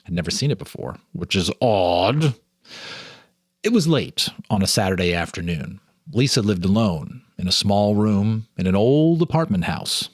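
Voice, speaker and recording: Low-voiced man telling stories, close and dry. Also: big pitch swings, normal speed, no echo. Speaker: host and narrator reading a story. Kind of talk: dramatic ghost story reading with casual asides. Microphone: speaking to camera, reading off a teleprompter.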